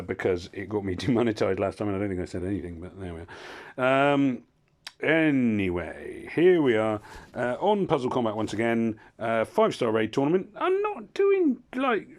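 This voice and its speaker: Brief: hoarse man, lowish voice, rambling gaming commentary with dry asides. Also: a little mellow. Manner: rambling, self-interrupting, wry asides. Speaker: gaming channel host. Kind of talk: casual gameplay commentary.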